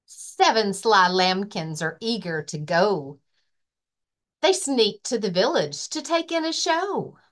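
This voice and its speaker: A woman librarian reading a children's rhyming picture book aloud with expressive, rhythmic delivery. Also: mid-range voice, slow pace. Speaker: librarian and story-time reader.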